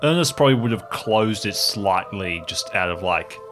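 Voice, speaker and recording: A man's voice: on the low side, expressive, normal speed, background noise. A man playing a tabletop roleplaying game, casually improvising and describing his character's actions.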